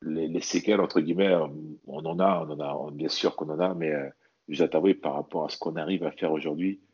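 A man's voice: a bass voice, fairly even intonation, brisk, darkish tone, husky, highs cut off. A man from French Guiana speaking casually and earnestly about himself as an interview guest, often repeating his words. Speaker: interview guest, athlete and bodybuilder. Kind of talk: casual personal testimony in conversation.

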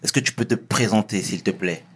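A man's voice: fairly low pitch, fairly quick, breathy edge. A man hosting an interview, asking questions and encouraging his guest with frequent small acknowledgements. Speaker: interview host. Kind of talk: conversational interview.

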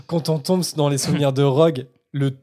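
A man co-hosting a podcast, thinking out loud in casual discussion. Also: a little high, fairly even intonation, moderate pace. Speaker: podcast co-host.

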